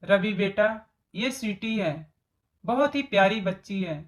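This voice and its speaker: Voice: medium pitch, unhurried, natural voice. A voice actor playing a scheming, greedy middle-aged mother-in-law in a story cartoon, with exaggerated storybook delivery. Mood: sweet, polite. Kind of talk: Hindi moral story cartoon dialogue.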